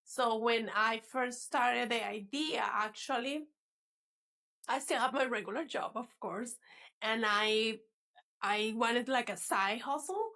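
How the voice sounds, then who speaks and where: Woman with a Venezuelan Spanish accent, native Spanish speaker, high voice, gravelly fry, chatty guest, laughs often. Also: slow, pushed and bright. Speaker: guest, fitness coach. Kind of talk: casual interview chat.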